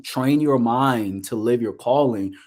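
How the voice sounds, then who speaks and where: A man's voice: mid-range voice, a little mellow. A man from Liberia in his thirties, a guest business coach, speaking earnestly and steadily with many fillers over a video call. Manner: earnest, measured, with frequent fillers. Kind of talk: casual interview talk.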